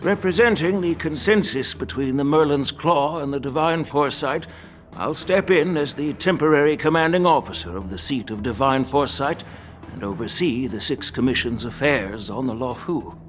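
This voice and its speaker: Man playing a measured, deep-voiced veteran general. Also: faint music behind, lightly acted. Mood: composed. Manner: measured, deliberate, gently instructive. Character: calm, authoritative, shrewd veteran male general. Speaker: voice actor. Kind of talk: scripted video game acting.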